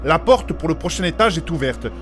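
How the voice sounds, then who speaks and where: An actor playing an earnest, formal quest companion who urges others on in old-fashioned phrasing, in theatrical audio fantasy. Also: male voice, on the high side, expressive, brisk, a bit bright, faint music behind. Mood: urgent.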